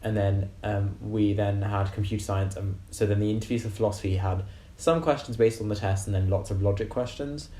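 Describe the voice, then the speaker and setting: A university student, a man of about twenty from West London, describing his interviews in a measured way with frequent ums. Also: lowish voice, fairly even intonation, dark tone, reverberant. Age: around 20. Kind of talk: casual interview answers.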